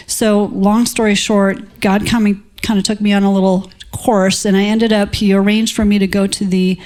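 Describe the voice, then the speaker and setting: A woman in her fifties from South Dakota with an American accent, a physician introducing herself informally to an audience, her voice a little hoarse. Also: medium pitch, a little mellow. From South Dakota, United States. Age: 50s. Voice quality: slightly hoarse. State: slightly hoarse from singing. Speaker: physician, panelist.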